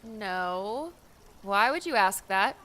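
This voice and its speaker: A woman's voice: medium pitch, expressive, slow pace, sharp tone. A woman playing a sarcastic, feisty young female adventurer with a blunt, informal manner in an audiobook. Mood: puzzled. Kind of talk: audiobook character dialogue.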